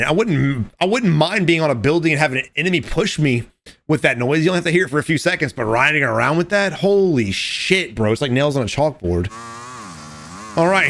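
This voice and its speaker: A man casually commenting over game footage, animated and profane, talking straight to his viewers, his voice going a little hoarse. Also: fairly high pitch. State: losing his voice. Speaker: gaming commentator.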